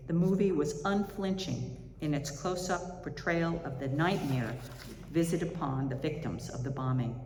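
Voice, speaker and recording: A woman giving a reflection at a service, reading spiritual writing aloud in a measured, reflective way. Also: low-pitched, a little mellow. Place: large indoor chapel or hall. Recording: echoey hall with reverb, faint background noise.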